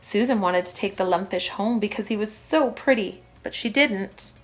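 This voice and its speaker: A woman reading a children's story aloud like a primary teacher, with expressive, emphatic phrasing for young listeners. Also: mid-range voice, normal speed, poor audio.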